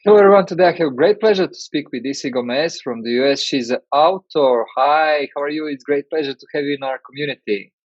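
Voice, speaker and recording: A man hosting a conversational interview, speaking haltingly as he searches for his words. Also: a little high, no echo, lo-fi sound. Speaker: interviewer, host.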